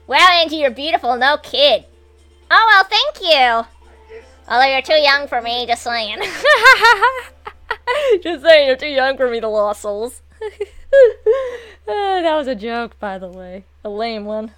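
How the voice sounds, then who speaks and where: A woman in her twenties chatting casually as she live streams a game, excitable and foul-mouthed. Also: high-pitched, unhurried, pauses often. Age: 20s. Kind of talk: casual gameplay commentary and chat with viewers. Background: video game music and sound.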